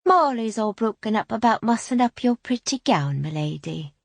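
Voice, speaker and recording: A woman's voice: on the high side, big pitch swings, normal speed, gravelly, dry recording, exaggerated voice. A woman reading an audiobook, voicing a deferential middle-aged innkeeper who speaks eagerly and obligingly. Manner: eager, bustling and servile.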